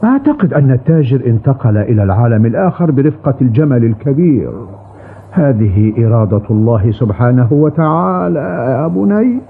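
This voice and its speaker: Cartoon old boatman: fretful and devout, expressive swings, tinny sound with faint noise. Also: mid-range voice, a little fast. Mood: resigned. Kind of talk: dubbed cartoon acting. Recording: tinny band, background noise.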